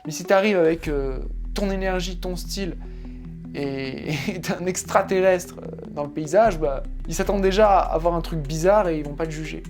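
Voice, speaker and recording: A man's voice: fairly high pitch, moderate pace, a little mellow. A young man from France telling the story of his trip in a casual, slangy voice-over full of asides and fillers. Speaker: vlogger narrating his trip. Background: faint music.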